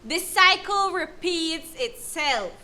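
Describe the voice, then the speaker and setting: A woman playing a firm, supportive counsellor, speaking deliberately and emphatically in an educational dramatization. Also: extremely high pitch, lively intonation, bright, forceful tone, heavily acted. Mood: firm, reassuring. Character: female counsellor, firm, supportive, explaining abuse to victim. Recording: clear background noise.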